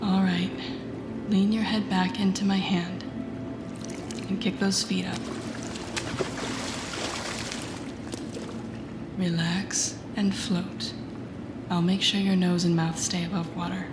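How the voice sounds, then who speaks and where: Woman acting telepathic villainess, slow delivery, soft and breathy, teasing mentor, intimate audio roleplay, echoey space, clear music behind. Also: lowish voice, level tone, lightly acted. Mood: calm, guiding. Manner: slow, soothing, guiding, teasing. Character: teasing, confident female telepathic villain coaching a rookie hero. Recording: echoey indoor pool sound, music behind.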